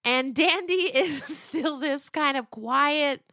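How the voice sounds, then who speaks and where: A middle-aged woman talking casually and reflectively as a podcast interview guest, telling stories in an animated way. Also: high voice, takes it slowly, dry recording, clean studio sound.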